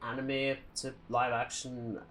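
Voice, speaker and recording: A man speaks as a podcast co-host in a casual, conversational style. His voice sits at a medium pitch, and he gives his opinions bluntly. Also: level tone, slow, fairly bright tone, soft background music. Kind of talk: casual film and game chat.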